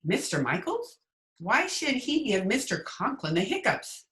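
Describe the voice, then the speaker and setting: A woman's voice: a little high, very animated, unhurried, a bit bright. A woman playing a witty, sardonic schoolteacher with dry wisecracks in an old-time radio comedy, recorded over a video call. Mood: wry, sardonic. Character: witty, sardonic female high school English teacher. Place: recorded remotely at home. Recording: echoey room on video call.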